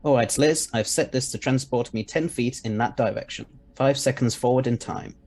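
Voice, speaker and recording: A man's voice: mid-range voice, moderate pace, a little mellow, a little hiss or hum, lightly acted. A man playing an eccentric, confident scientist time traveller in an audio drama, speaking articulately with a mildly superior air. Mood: assured.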